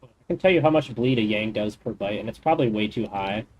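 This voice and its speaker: A man's voice: fairly low pitch, expressive, fast talker, darkish tone, low-quality recording. Man rambling through game mechanics. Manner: explains game mechanics in detail; rambling, thinks aloud. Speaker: game streamer. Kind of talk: casual gaming chat.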